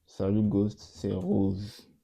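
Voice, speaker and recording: A young man hosting his channel and talking through a coding demo in a casual way, with a cold that makes his voice a bit off. He repeats himself often and fills pauses with hesitations. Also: on the low side, monotone, creaky, mellow, breathy edge. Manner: repeats himself, many fillers and restarts. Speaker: channel host. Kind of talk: casual screen-recorded coding walkthrough.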